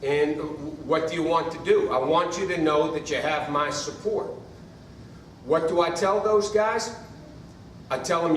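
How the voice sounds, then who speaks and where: Male college coach on a panel, earnest and reflective, fairly high voice, recorded from far off in an echoey room. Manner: earnest, reflective, repeats phrases for emphasis. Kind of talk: panel discussion answer. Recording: echoey room, mic far off, faint noise.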